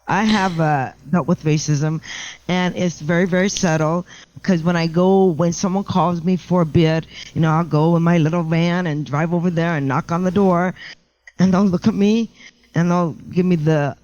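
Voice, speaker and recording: A middle-aged woman with a low voice, a house painter chatting as a podcast guest, joking about herself and often restarting her sentences. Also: fairly even intonation, normal speed, darkish tone, no room sound, highs cut off. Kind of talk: casual podcast interview.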